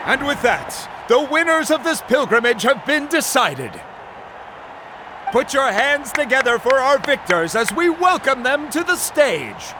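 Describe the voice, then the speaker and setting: A man's voice: extremely high pitch, very animated, measured pace, noisy background, full character voice. A man playing an exuberant tournament announcer in a game dub, projecting theatrically to present the winners. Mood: rousing, celebratory. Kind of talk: arena announcing in a dubbed game.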